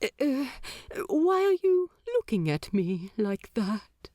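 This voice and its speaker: A shy, earnest male angel, fussy and halting, stumbling over his words, as a woman puts him on. Slow delivery with a lively, rising and falling pitch. Dry, close storybook sound. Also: no echo. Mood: flustered. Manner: hesitant, halting with many uhs.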